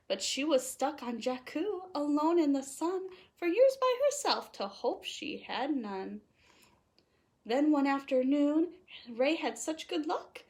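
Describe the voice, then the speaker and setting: A woman reading a children's picture book aloud in an animated, playful way, leaning on the rhymes. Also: high-pitched. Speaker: storytime host reading aloud.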